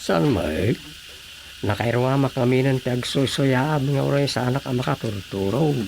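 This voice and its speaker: Talkative provincial man, gravelly, mid-pitched, Ilocano accent, folksy radio drama style, slightly noisy track. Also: lively intonation, normal speed, darkish tone. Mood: musing. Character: talkative provincial man in a radio comedy, gossiping about village life.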